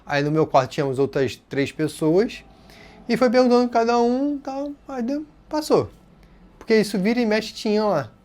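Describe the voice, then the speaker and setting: A man's voice: high-pitched, normal speed. A man from Rio de Janeiro with a carioca accent, casually telling a personal story to his channel's viewers. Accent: Rio de Janeiro Portuguese. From Rio de Janeiro, Brazil. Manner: casual and expressive, voices others' words in quotes. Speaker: channel host.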